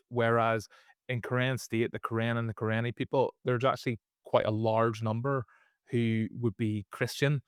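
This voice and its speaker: A man's voice: mid-range voice, clear, resonant tone, dry recording. Northern Irish male co-host, explanatory, restarts often, dry studio sound. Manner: explanatory, repeats words when restarting. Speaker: podcast co-host. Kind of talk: casual conversational podcast.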